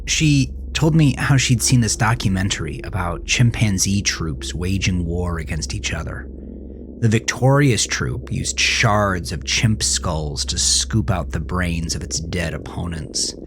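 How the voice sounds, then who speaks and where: A man playing a troubled teenage boy who narrates his own horror story in a brooding, confessional way, often dropping to a hushed murmur. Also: low voice, noisy background. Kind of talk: dramatic first-person horror audio narration. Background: music.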